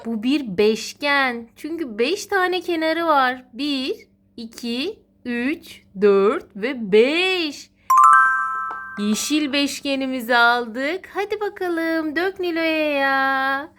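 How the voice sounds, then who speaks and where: A woman's voice: high-pitched, lively intonation, slow pace, fairly bright tone. A woman talking as a mother to her small child, narrating educational play in an encouraging, sing-song way. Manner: encouraging, sing-song child-directed talk with repeated praise. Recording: clearly noisy home recording with clicks.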